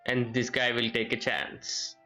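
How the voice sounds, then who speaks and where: Guy streaming a game, slow halting talk, soft music behind. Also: medium pitch, level tone. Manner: halting, searching for words. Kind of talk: casual live gameplay commentary.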